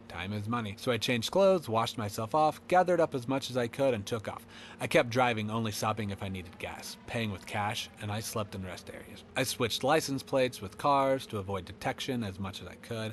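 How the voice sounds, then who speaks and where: A man's voice: on the low side, lively intonation, a little fast, a bit bright, a little hiss or hum. A man voicing an unrepentant killer hiding in an attic, sinister and darkly glib, in a first-person horror monologue.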